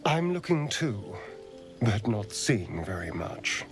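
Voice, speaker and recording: An actor playing a sardonic, vain vampire in a video game, theatrical, arch and drawling. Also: male voice, lowish voice, very animated, slow, faint music behind, heavily acted. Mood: wistful, bitter. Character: sardonic, vain male vampire, newly free and power-hungry.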